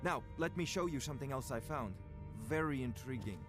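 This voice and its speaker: A man's voice: mid-range voice, lively intonation, fairly quick, natural voice. A male actor playing a pompous, bookish court wizard in a fantasy video game, speaking in a haughty, scholarly way. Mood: eager, absorbed. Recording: loud game music behind.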